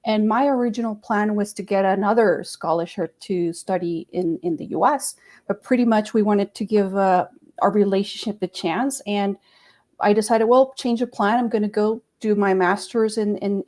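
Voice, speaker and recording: A woman from Costa Rica with a mid-range voice tells her personal story as a guest on a live web panel. She speaks briskly and casually, now and then repeating a word. She talks over a video call. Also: mid-range voice, steady tone, a little mellow.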